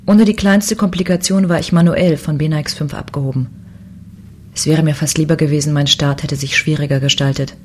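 A woman's voice: sits low, steady tone, brisk, slight background noise, lightly acted. A woman playing a grave, regretful police commissioner, narrating in a science fiction audio drama. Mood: bitter, regretful.

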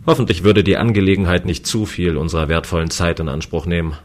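A man acting as a solitary, easygoing watchman of a remote space station in a sci-fi radio play. His voice is low, and he speaks scripted lines as the story's own narrator and hero. There is faint noise in the recording. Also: fast talker, lightly acted. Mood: wry, reflective. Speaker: voice actor and first-person narrator.

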